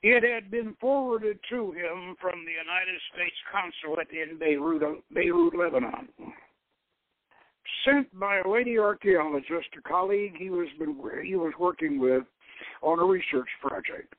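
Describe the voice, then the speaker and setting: A man's voice: high voice, unhurried, dry recording. Man reading over a tinny phone line. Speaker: radio show host and author. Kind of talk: scripted announcement and dramatic reading of a novel.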